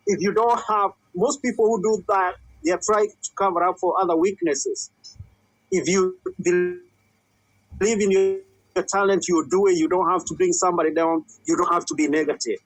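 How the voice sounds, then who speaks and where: A man's voice: sits high, dry recording, low-quality recording. A man from Kenya, a musician and comedian, answering interview questions earnestly and repeating his advice for emphasis.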